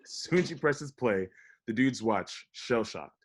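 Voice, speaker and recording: A man reading a comedy script, both as an incredulous young roommate and as the dry, wry reader of stage directions, with a husky voice. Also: medium pitch, lively intonation, measured pace. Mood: dry narration. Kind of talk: table read of comedy script, with narrated directions. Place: at home, remote table read.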